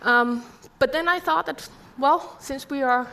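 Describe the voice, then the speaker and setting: A woman's voice: high voice, measured pace, fairly bright tone. A middle-aged woman from Finland with a Finnish accent, giving an earnest conference presentation full of rhetorical questions. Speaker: conference speaker.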